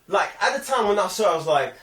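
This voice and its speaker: Man, London accent, high animated voice, slangy casual review, repeats himself for emphasis, roomy distant recording. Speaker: film review podcast co-host. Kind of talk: casual film discussion among friends. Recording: roomy echo, off the microphone.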